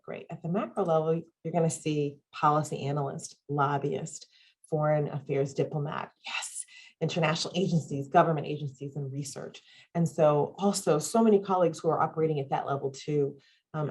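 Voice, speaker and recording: A woman's voice: low voice, a little mellow, slightly rough. A woman presenting and moderating an online panel on a video call, upbeat and listing items with frequent ums. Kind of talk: webinar presentation.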